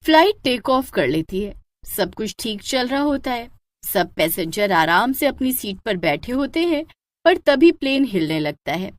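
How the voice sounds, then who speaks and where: A woman narrating a scripted cartoon horror story as a storyteller, in an expressive storybook manner. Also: a little high, measured pace, no room sound.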